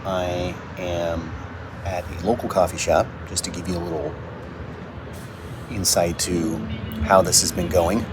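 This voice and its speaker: A man speaking casually in a self-recorded video diary on location, thinking aloud in a halting way with repeated words. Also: sits low. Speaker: audio drama writer and producer. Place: coffee shops and outdoors, on location. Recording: noisy on-location recording with ambient sound.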